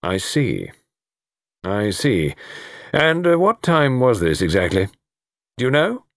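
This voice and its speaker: A man voicing a brisk, stern middle-aged chief constable in a storybook, questioning in a clipped, official way. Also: medium pitch, huge pitch swings, no echo, studio quality, pitched above the performer's own voice, exaggerated voice. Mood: probing.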